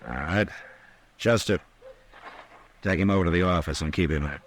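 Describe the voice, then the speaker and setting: Deep-voiced, steady Western marshal. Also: male voice. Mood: firm command. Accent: American. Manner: measured, questioning, authoritative. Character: steady, firm frontier US marshal. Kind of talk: 1950s radio drama acting.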